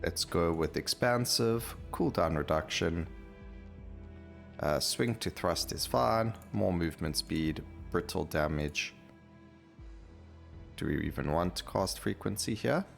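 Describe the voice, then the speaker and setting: A man's voice: sits low, takes it slowly, gravelly, faint music behind. A man giving casual gameplay commentary as the host of a gaming video.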